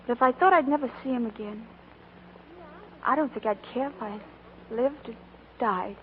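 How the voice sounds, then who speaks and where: A woman's voice: on the high side, moderate pace, very noisy, close to an everyday voice. An actress playing an earnest, plainspoken young working woman in love, with an American accent, in 1940s radio style. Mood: wistful, sincere. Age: young adult. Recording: muffled old radio broadcast with music.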